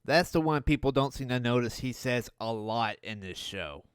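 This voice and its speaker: A man hosting a video, riffing on cartoon clips with mocking, deadpan sarcasm. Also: medium pitch, normal speed, very noisy. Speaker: video host. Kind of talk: sarcastic riff commentary.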